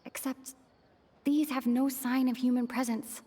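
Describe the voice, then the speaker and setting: A woman playing a pensive young female traveler in a video game, earnest and deliberate as she reasons. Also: sits high, little pitch movement, dark tone, a little hiss or hum. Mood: pensive, realizing. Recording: long reverb on the voice.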